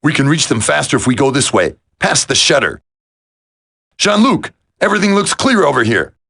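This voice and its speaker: A man's voice: on the high side, big pitch swings, normal speed, vocal fry, pushed and bright, slightly rough, heavily acted. A man voicing a friendly, practical young soldier teammate in a video game. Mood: alert.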